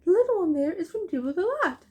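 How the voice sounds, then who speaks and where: A woman's voice: very high voice, expressive, fast, warm tone, voice pushed up. A woman voicing an astonished storybook doctor, exclaiming and questioning in a puzzled manner.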